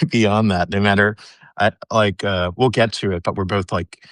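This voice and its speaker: A man hosting a casual interview on a video call, asking relaxed, chatty questions. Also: lowish voice, unhurried, dry recording. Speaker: host.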